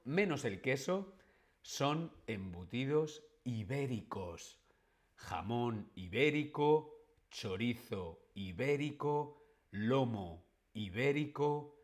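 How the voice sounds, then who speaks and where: A man teaching Spanish in a live stream, speaking slowly and clearly and repeating key words for learners. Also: mid-range voice. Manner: reads viewer chat questions aloud. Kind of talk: live-streamed language lesson.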